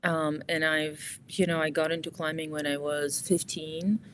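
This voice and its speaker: A woman speaks in a notably low, soft voice that trails off at the ends of phrases. She is reflective and unhurried, heard over a video call with a faint rumble behind her. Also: level tone. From Poland. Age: 40s. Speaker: guest, climber and author.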